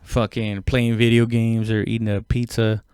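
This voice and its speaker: Comedian guy just rambling on his podcast, clear voice, dry sound, stop-start and sweary. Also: medium pitch, level tone, a little mellow, no echo. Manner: informal, halting, heavy swearing. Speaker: stand-up comedian hosting own podcast. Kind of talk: casual rambling solo chat.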